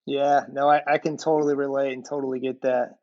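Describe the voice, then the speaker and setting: A man's voice: medium pitch, level tone, brisk, darkish tone, no echo. A man hosting a podcast and chatting casually with a guest, on a slightly dull, lo-fi recording. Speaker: podcast host. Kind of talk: casual interview chat.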